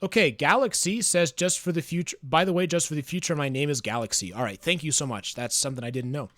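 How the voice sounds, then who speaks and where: A man chatting casually on a livestream, reading chat aloud in a smooth, soothing voice, musing and hesitant with fillers. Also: a little high, fast talker, a bit bright, no echo, studio quality.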